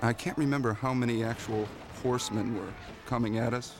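A man's voice: medium pitch, steady tone, brisk, background noise. A man, an actor in the film, chatting in an easygoing way during a commentary. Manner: easygoing, chatty, laughs often. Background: film soundtrack music.

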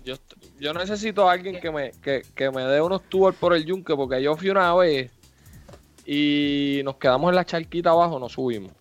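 A man's voice: fairly high pitch, moderate pace. A man hosting a podcast, asking questions in a loose, meandering way full of fillers. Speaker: podcast host. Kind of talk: casual podcast interview. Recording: slightly muffled, faint music behind.

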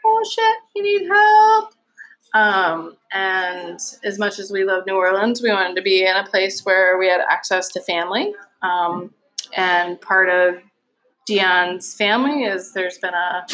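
A woman in her thirties, from Virginia, talks as a guest about her farm. She is friendly and chatty, her voice sitting at a middle pitch. She circles her points with ums and restarts. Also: a bit bright, poor audio. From Virginia, USA. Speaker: guest, vegetable farmer. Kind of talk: casual interview chat.

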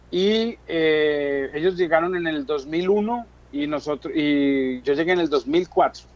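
A man's voice: a little high, highs cut off. A middle-aged man with a Colombian accent, telling his own story as an interview guest in conversational Spanish. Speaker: interview guest, business chamber chairman.

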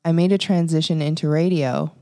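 A woman's voice: low-pitched, steady tone, fairly quick, dark tone. A woman from Canada in her thirties, co-hosting a podcast and chatting casually about her life. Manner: chatty storytelling with fillers and laughs. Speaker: podcast co-host.

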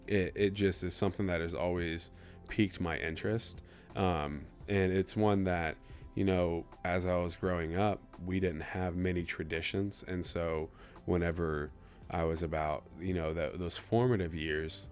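A man's voice: deep voice, darkish tone. A young man from Kansas City in his twenties, hosting a solo podcast in an earnest, reflective, loosely rambling way. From Kansas City, USA. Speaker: podcast host.

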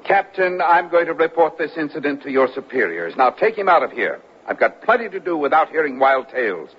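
A man's voice: a little high, big pitch swings, brisk, a little hiss or hum. A man acting in a 1970s radio drama as a self-important government official, stern and curt. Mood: irritated, stern. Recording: muffled old radio broadcast recording.

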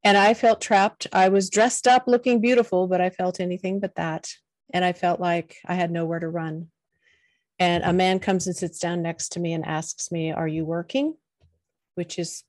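A middle-aged woman, a podcast guest, telling her personal story in a soft, earnest voice. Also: on the low side, steady tone, normal speed, no echo. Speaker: podcast guest, confidence coach.

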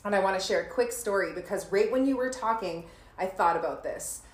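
Woman, host, reflective storyteller, mid pitch, echoey room. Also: fairly quick. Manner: storytelling, reflective, laughs often. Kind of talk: warm conversational podcast chat.

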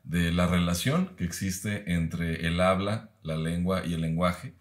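A man, speaking as a podcast guest in a measured, reflective way with frequent pauses. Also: deep voice. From Mexico. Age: 30s. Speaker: guest, university professor.

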